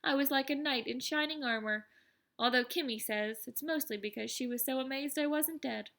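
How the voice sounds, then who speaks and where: An excitable teenage schoolboy voiced by a woman tells his own story in a fairly high voice. He is boastful yet self-deprecating, with a wry, conversational audiobook delivery that stays animated. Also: moderate pace, vocal fry. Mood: proud, chatty. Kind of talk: first-person audiobook narration.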